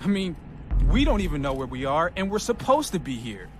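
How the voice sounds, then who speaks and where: An animated, high-voiced rookie Marine. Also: male voice, a little fast, noisy background. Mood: incredulous. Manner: questioning, sarcastic. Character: skeptical, mouthy young rookie male Marine. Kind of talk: military sci-fi motion comic acting.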